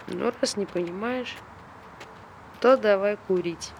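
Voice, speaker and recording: A woman's voice: medium pitch, slow pace, vocal fry, a little mellow, slight background noise. An actress playing a blunt, needling woman who questions her friend, in naturalistic stage-play dialogue. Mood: teasing, needling. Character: blunt, teasing, wary woman friend of Tolya.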